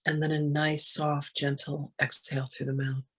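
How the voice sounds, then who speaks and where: A guided meditation in a soft, breathy voice that stays on an even, narrow pitch. The delivery is gentle and soothing, with unhurried phrases and long pauses between them.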